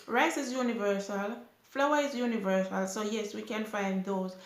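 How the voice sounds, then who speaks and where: A young woman from Jamaica with a Jamaican accent, chatting casually as she answers viewer questions from her sofa. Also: medium pitch. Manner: unhurried, chatty, with lively rises when emphatic. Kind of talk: casual Q&A chat. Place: small indoor room, seated on a sofa. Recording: echoey room.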